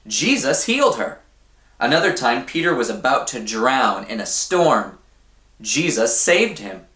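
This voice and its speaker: A man reading a children's story aloud in an expressive, deliberate way, often putting questions to his young listeners. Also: medium pitch, reverberant. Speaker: church storybook reader for children.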